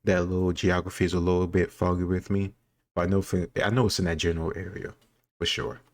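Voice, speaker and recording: A man's voice: low voice, flat delivery, fast talker, dry recording. A man hosting a casual reaction video, thinking aloud in a reflective way as he gives his commentary. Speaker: video host taking a history quiz.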